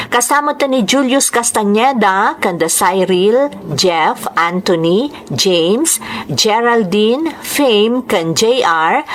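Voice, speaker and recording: A woman with an Ilocano accent presents as the host of a radio-style drama program. She reads her script slowly and deliberately, in a measured announcer manner. Her voice sits at an ordinary middle pitch for a woman. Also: a bit bright. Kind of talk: scripted narration, greetings and letter reading.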